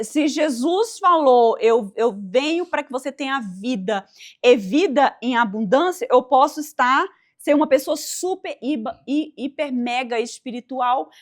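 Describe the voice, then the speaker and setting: A woman's voice: sits high, expressive, measured pace. A woman hosting a faith-based interview, enthusiastic and preacherly, often exclaiming "uau" at her guest's answers. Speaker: host.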